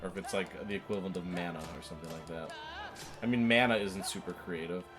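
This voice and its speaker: Low-voiced man chatting over game music. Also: fast. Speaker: host of a let's-play show. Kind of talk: casual gaming commentary with a co-host. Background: video game music.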